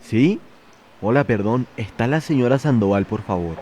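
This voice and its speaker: A man's voice: mid-range voice, expressive, normal speed, background noise, natural voice. A Colombian man playing an anxious, courteous but volatile priest on the run in an audio drama. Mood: nervous politeness.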